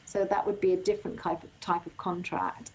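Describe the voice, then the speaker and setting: Woman with a voice turning croaky. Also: lowish voice, fairly even intonation, brisk, darkish tone, low-quality recording. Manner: reads out audience questions and answers them informally, with fillers. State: voice getting croaky. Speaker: legal workshop host. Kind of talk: live webinar Q&A.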